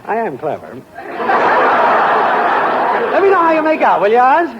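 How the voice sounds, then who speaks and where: A sly, wisecracking neighbor, with a high voice that leaps wildly up and down between dry jokes. It is a dull old radio broadcast with faint hiss. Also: male voice, huge pitch swings, moderate pace, over a noisy crowd, full character voice. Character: wisecracking, scheming male neighbor. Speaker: friend and neighbor in a radio sitcom. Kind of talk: 1940s radio sitcom acting.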